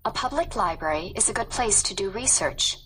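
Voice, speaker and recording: A woman reading a scripted textbook narration in a formal, measured manner. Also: medium pitch, lively intonation, pushed and bright, a little hiss or hum.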